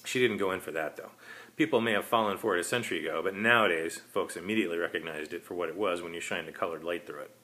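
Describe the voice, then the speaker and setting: A man's voice: low voice, quick pace, sharp tone. A man reading his own short story aloud as scripted narration.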